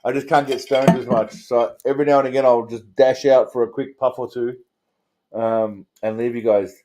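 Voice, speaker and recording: A man's voice: medium pitch, steady tone, moderate pace, darkish tone, rough recording. Blokey Australian man chatting casually. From Australia, Melbourne suburbs. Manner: blokey, crude, heavy swearing, rambling asides. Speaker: host of a livestream channel. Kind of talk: casual livestream chat answering viewers. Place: indoors.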